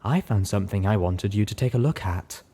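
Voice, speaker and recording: A man's voice: lowish voice, brisk, dark tone, slightly rough. A man voicing a refined female unicorn with a purring, sweetly persuasive manner in a storybook reading. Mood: coaxing. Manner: purring, coaxing.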